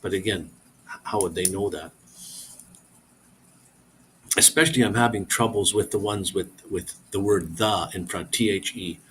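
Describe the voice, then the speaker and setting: A man's voice: low-pitched, moderate pace, pauses often, a bit bright, slight background noise, poor audio. A middle-aged man hosting a casual live stream, rambling as he reads viewer comments aloud and answers them.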